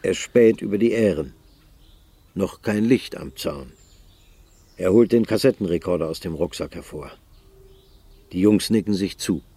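A man narrating a radio drama in a measured storytelling manner. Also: deep voice, warm tone, slight background noise.

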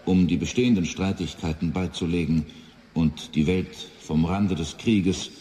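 Actor as deep, mellow, dignified diplomat. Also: male voice, lightly acted. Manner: solemn, deliberate. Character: earnest, dignified male United Nations Secretary-General. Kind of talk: formal diplomatic statement in a radio play.

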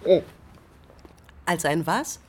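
An actor playing a dry, deliberate history narrator in a radio play, reading scripted narration. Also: mid-range voice, expressive. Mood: sceptical. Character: measured, dry history narrator, weary of metaphors. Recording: noticeable ambient noise behind.

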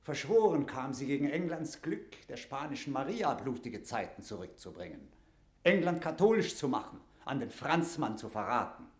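A man's voice: medium pitch, big pitch swings, normal speed, darkish tone, lightly acted. Man playing a stern jailer knight in an echoing room. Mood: indignant. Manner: solemn, declamatory stage diction. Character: stern, suspicious middle-aged English knight guarding a captive queen. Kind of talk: classical German verse radio drama acting.

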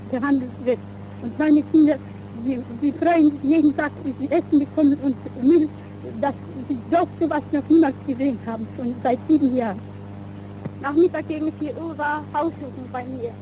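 A woman tells her own account as a refugee for a 1930s newsreel. Her voice is high. The old recording hums and is clearly noisy. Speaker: refugee giving testimony. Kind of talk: personal testimony for a newsreel.

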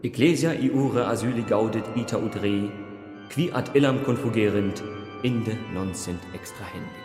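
Guy acting kindly steady priest, radio drama, echoey church with music behind. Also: on the low side, moderate pace, close to an everyday voice. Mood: calm, resolute.

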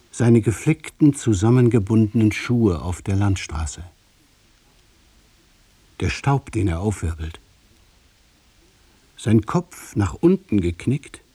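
A man narrating a radio play from a literary script, deliberately, in short phrases set apart by pauses. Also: on the low side, dark tone, husky, dry recording.

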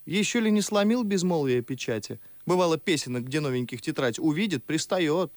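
An actor playing a witty, talkative, mocking young gentleman in an ardent, ironic verse radio drama. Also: fairly high pitch, expressive, a little fast, no room sound, natural voice. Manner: ardent, ironic, theatrical declamation. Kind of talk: Russian classical verse radio drama acting.

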